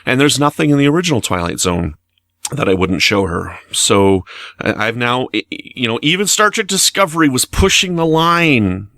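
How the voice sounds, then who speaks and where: A man's voice: mid-range voice, lively intonation, normal speed, pushed and bright, no room sound. Earnest man, very dry, close sound. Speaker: listener leaving feedback message. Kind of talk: informal spoken opinion.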